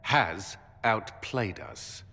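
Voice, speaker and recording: An actor playing a firm, blunt and cautious military commander with a husky voice in a fantasy video game. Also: male voice, fairly low pitch, lively intonation, extremely slow, sharp tone, heavily acted. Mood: grim. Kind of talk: dramatic video game acting. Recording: long hall reverb.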